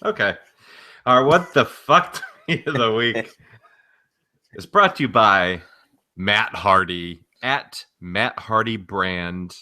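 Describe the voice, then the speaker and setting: A man's voice: lowish voice, expressive, takes it slowly, pushed and bright, slight background noise. A man co-hosting a podcast, giving casual commentary with a dry, wry delivery. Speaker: podcast co-host. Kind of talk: casual commentary, reading out tweets.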